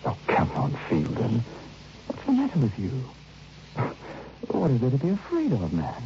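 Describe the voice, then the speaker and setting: A man's voice: medium pitch, wildly swooping pitch, normal speed. An actor playing a genteel, hesitant male writer in an old radio drama, courteous and well-spoken. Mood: uneasy. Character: genteel, anxious male writer lodging away from home. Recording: muffled old radio recording, faint noise.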